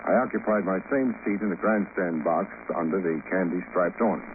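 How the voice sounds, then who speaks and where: An actor playing a cynical private investigator in an old radio drama, with an American accent and a dry, clipped, sardonic delivery. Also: male voice, low voice, fairly quick. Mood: wry narrating. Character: wry, cynical male private investigator narrating his case. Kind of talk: 1940s-50s radio detective drama acting. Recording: muffled, noisy old radio recording.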